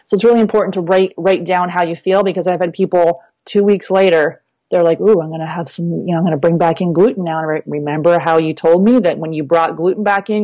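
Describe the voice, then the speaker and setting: Low-voiced woman, dry lo-fi sound. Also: darkish tone, no room sound. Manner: chatty, explains step by step with many "you know" and "like" fillers. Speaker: fertility coach and guest. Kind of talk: casual explanatory interview talk.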